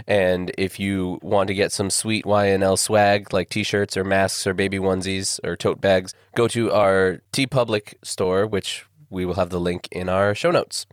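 A man's voice: sits low, fairly even intonation, unhurried, hardly any pauses, no room sound, clean studio sound. A young man hosting a music podcast, speaking casually and with easy self-deprecation while fighting off a bad cold. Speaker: podcast host.

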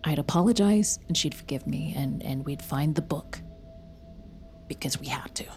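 A woman's voice: low voice, normal speed, darkish tone. An actress playing a haunted, desperate mother in an audio drama, earnest, hesitant and strained. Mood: haunted narration. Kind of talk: audio drama acting with first-person narration. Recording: music under the voice.

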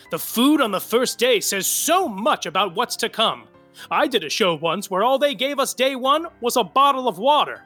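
An actor playing a bitter, gossipy theater veteran in an audio comedy, with an arch, theatrical manner. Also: male voice, extremely high pitch, pushed and bright, exaggerated voice.

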